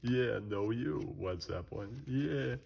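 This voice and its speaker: A man's voice: mid-range voice, animated, slow pace, gravelly, very noisy. A man rambling casually in a drawling, slangy show-and-tell, repeating his catchphrases. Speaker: vlogger showing off a record collection.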